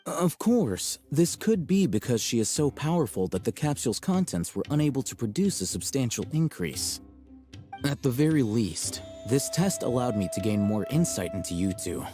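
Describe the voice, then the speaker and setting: An actor playing a composed, aloof young male scholar in a video game, speaking in a measured, articulate, matter-of-fact way. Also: mid-range voice, very animated, over music, close to an everyday voice. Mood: composed, analytical. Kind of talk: scripted video game dialogue.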